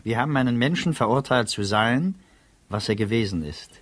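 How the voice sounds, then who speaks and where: An actor playing a thoughtful, humane public prosecutor in a 1950s German radio drama, speaking in a measured, slightly husky voice. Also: male voice, medium pitch, expressive, slight background noise, natural voice. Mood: reflective, calm. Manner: measured, reflective.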